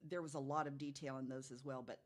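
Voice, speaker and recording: Low-voiced woman in casual chat. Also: fairly even intonation, quick pace, darkish tone. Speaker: podcast panelist.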